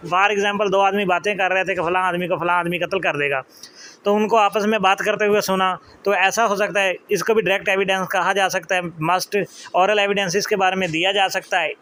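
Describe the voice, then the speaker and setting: A man's voice: sits high, little pitch movement, a little fast, sharp tone. A man with a Pakistani accent giving an explanatory lecture, reading out formal legal text and then explaining it. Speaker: law lecturer.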